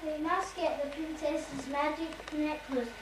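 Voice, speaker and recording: A very high, childlike voice from a young performer plays a scheming puppet villain bent on ruling the planet. The sound is echoey and distant, with heavy noise from an old home video. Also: steady tone, a little slow. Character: scheming villain leader plotting to rule the planet. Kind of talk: amateur children's puppet show acting.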